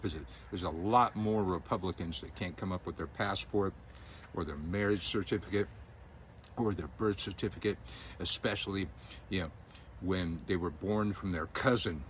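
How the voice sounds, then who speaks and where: Opinionated middle-aged man, low voice. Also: normal speed, slight background noise. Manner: rambling, opinionated, chuckles often, hesitant fillers. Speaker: independent news commentator. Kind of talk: casual political commentary.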